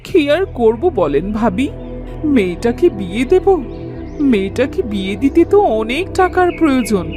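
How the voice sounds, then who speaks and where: Woman acting a high, fretful village mother. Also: big pitch swings, measured pace, music in the background. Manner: fretful, plaintive. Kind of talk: Bengali cartoon voice acting.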